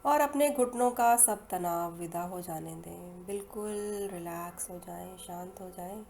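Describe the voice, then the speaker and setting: An Indian woman leading a guided hypnosis meditation in slow, soothing, unhurried phrases with long pauses between them. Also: lowish voice, gravelly, slight background noise. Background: soft meditation music.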